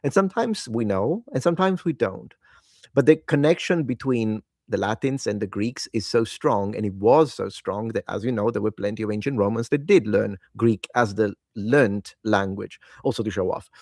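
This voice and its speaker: A man whose first language is Italian chats late at night in a somewhat low voice. He rambles with excitement and catches and corrects himself mid-sentence. The sound is dry and close, with no room echo. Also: moderate pace. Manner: enthusiastic, rambling, self-correcting. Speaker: language-learning video host. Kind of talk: casual solo talk to camera.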